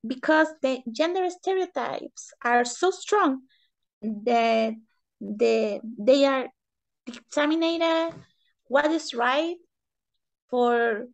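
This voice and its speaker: Chilean lady, spanish accent, really slow halting english in an interview, bit of fry in the voice. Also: high-pitched. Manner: halting, searching for words in simple English. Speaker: interview guest, economist and feminist. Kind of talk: interview answers in non-native English.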